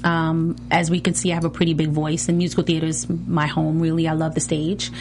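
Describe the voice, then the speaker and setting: Chatty woman guest, lowish voice. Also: level tone, fairly quick, darkish tone, slight background noise. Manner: chatty, lots of fillers like "you know" and "like". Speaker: guest, reality TV cast member and singer. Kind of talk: casual interview.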